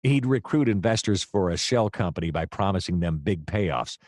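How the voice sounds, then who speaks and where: An actor playing a hapless hard-boiled private detective, narrating his case in a wry, deadpan noir radio-play style. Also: low-pitched, moderate pace, no echo, clean studio sound. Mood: eager, pushy.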